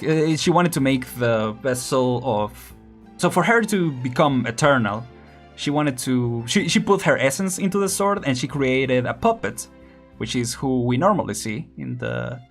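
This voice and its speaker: A man chatting casually on a game stream, rambling with many fillers, restarts and small laughs. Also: mid-range voice.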